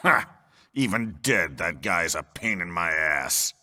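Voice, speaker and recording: An actor playing a brash, menacing middle-aged villain in a video game, with a gravelly voice and a sneering, taunting manner. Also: male voice, deep voice, expressive, slow, very noisy. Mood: mocking, threatening. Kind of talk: video game cutscene acting.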